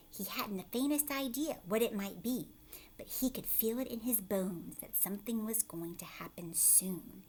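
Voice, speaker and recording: A woman teacher reading a storybook aloud to children, expressive, with explanatory asides and questions to the listeners. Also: mid-range voice.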